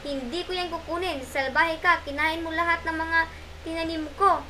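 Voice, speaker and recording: Woman as a crafty turtle, high and bright, plaintive, gentle, amateur puppet show. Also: fairly even intonation, moderate pace, a little hiss or hum. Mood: indignant. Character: gentle but crafty turtle, wronged and clever.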